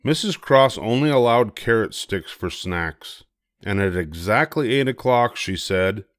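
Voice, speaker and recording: Casting: older male storybook narrator, low-pitched, expressive, dry close recording. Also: a little slow, dry recording. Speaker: storybook reader. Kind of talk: expressive children's book narration.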